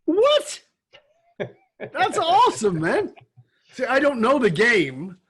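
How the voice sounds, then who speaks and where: A man's voice: extremely high pitch, huge pitch swings, takes it slowly, a bit bright, breathy edge. A man hosting a podcast interview who reacts with enthusiasm and keeps up a stream of short affirmations. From outside the United States. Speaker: podcast host. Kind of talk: interview host, conversational.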